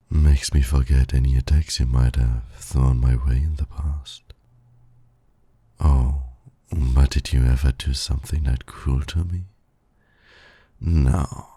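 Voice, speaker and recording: A man playing a suave, flirtatious villain in an ASMR romance roleplay, teasing in silky, formal, condescending words with a gravelly, breathy voice. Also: extremely low pitch, mellow, dry recording, heavily acted. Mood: teasing, smug. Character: suave male villain flirting with heroic nemesis.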